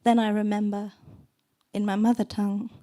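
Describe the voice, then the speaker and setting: Woman reading poetry aloud, soft and measured. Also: mid-range voice, little pitch movement. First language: not English. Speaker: poet reading at a book presentation. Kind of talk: poetry reading with brief asides.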